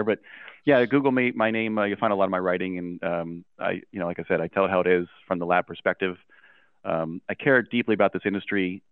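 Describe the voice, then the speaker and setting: A man's voice: low-pitched, no echo. A man speaking as an interview guest, blunt and frank, with many fillers. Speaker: guest, lab CEO. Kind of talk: casual interview chat. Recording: tinny phone line.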